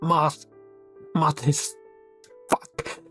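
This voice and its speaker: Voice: medium pitch, flat delivery, extremely slow, fairly bright tone, soft background music. A performer voicing a grief-stricken, exhausted, short-tempered gravedigger, curt and raw in a role-play drama.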